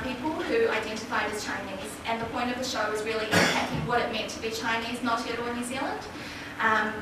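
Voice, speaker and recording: A woman theatre producer giving an informal, thoughtful talk at a forum, pausing often with ums. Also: fairly high pitch. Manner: hesitant, many ums, thoughtful. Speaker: theatre producer and panel speaker. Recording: echoey hall from far off, noisy.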